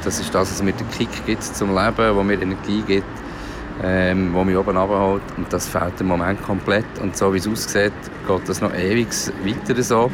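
A Swiss German man talks in a slightly low voice. His delivery is casual and reflective, like an unscripted audio diary. Ambient noise is plainly audible behind him. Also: fairly even intonation, normal speed. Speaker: podcast host and parent.

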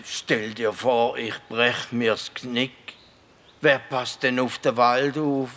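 An actor playing a playful, eccentric, forgetful forest spirit in a children's radio play, with a Swiss German accent and a singsong, exclamatory manner. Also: male voice, medium pitch, little pitch movement, takes it slowly, fairly bright tone, slight background noise. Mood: indignant. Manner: singsong, exclamatory, prone to nonsense syllables.

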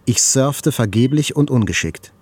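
An actor playing a wounded journalist, narrating his hospital recovery in a measured, reflective literary style. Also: medium pitch, animated, darkish tone, a little hiss or hum, lightly acted. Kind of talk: scripted literary narration, radio play.

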